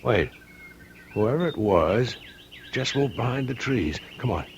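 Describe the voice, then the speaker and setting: A man's voice: medium pitch, animated, measured pace, muffled recording. An actor playing a troubled, gloomy, short-tempered man in an old radio mystery. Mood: agitated, insistent. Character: haunted, gloomy, short-tempered husband or fiancé, horseman. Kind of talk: old-time radio drama acting. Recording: old radio recording with light noise.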